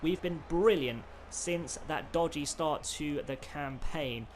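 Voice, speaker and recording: A man, who has a cold, doing excited play-by-play commentary over a football video game. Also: fairly high pitch, slow, slight background noise. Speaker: gaming YouTuber.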